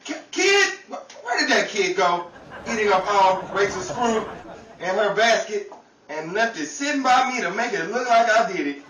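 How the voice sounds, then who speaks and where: Man acting a high-pitched, comically blustering glutton. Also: big pitch swings, normal speed, bright, forceful tone, heavily acted. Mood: flustered. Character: guilty, blustering gluttonous man making flimsy excuses. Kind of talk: 1930s radio comedy acting. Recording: echoey, noisy, distant stage recording with audience.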